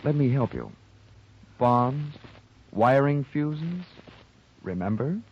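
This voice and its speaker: A man's voice: mid-range voice, lively intonation, slow, a little hiss or hum. A man with an American accent playing a wisecracking, hard-boiled insurance investigator in a 1940s radio detective drama, wry and sardonic. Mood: needling, sardonic. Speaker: lead actor and narrator. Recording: dull 1940s radio recording.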